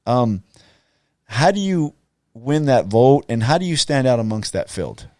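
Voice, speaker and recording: A man hosting a podcast interview, talking casually in a halting way, with many fillers and repeated words. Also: medium pitch, sing-song, a little mellow, dry recording, clean studio sound. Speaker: podcast host.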